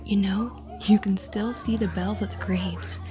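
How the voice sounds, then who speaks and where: A woman playing an excited, adventurous teenage girl in a horror story, dramatic and coaxing. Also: medium pitch, a little slow, soft background music, natural voice.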